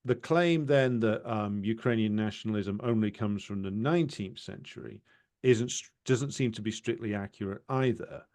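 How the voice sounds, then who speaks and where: A man with a fairly low voice hosts a talk on history. He speaks conversationally, stopping and restarting his sentences, with many fillers. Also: moderate pace, a little mellow. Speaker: interviewer. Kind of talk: casual political discussion.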